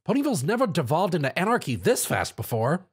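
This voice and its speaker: Man voicing an eager young boy dragon, pitched up. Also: big pitch swings, fast, husky, full character voice. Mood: earnest.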